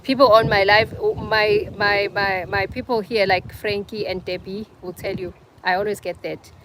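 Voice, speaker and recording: A woman's voice: medium pitch, steady tone, moderate pace, a crowd in the background, low-quality recording. A young South African woman with a South African accent, hosting a casual livestream and chatting with viewers, teasing them by name. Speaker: livestream host. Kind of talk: casual live chat with viewers.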